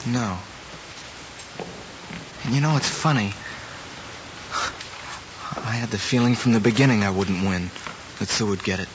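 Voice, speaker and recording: An actor playing an earnest, guilt-ridden young American student, speaking in a plain, subdued way. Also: male voice, on the low side, measured pace, pauses often, lightly acted. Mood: resigned, contrite. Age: young adult. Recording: dull, noisy old film soundtrack.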